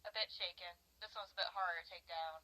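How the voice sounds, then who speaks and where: Stern pony commander played by a woman for horror animation: sharp edge, slightly low, clipped orders. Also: fairly even intonation, fast, rough recording. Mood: clipped, reporting. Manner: terse military commands.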